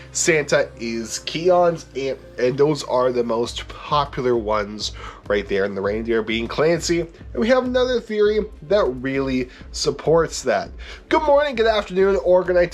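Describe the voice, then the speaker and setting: Male channel host, congested and nasal from a cold, chatty and animated, soft music underneath. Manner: upbeat and chatty, swooping pitch. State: stuffed up, congested sinuses. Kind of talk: casual fan-theory video chat.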